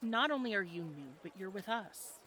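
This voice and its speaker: Over clear background noise comes a woman acting as a friendly tavern woman from a medieval fantasy audio adventure. Her voice is bright and forward. She speaks conversationally, teasing a little as she guides a newcomer. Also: medium pitch, expressive, moderate pace. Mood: guiding. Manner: conversational, gently instructive.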